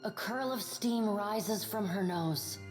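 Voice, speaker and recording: A female game narrator with a mid-pitched voice reads scripted fantasy prose. Music plays clearly behind her. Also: slow.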